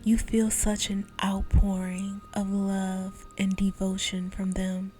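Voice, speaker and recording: A woman guiding a visualization meditation in a soft, slow, soothing voice, often dropping to a whisper. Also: medium pitch, monotone, faint music behind. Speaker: meditation guide.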